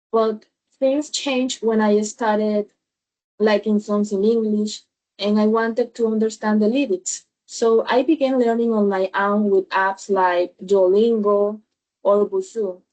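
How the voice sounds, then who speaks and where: A woman's voice: medium pitch, darkish tone, low-quality recording. A woman in her early twenties with a Colombian Spanish accent, giving a prepared personal account as a podcast panelist. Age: early 20s. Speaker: podcast panelist, language student.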